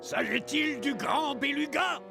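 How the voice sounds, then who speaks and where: An actor playing a fanatical old whaling captain in a French children's cartoon, gravelly and barking orders. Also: male voice, very high voice, measured pace, exaggerated voice. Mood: commanding. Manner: commanding, bellowing orders. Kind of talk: children's cartoon dub acting. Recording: loud music under the voice.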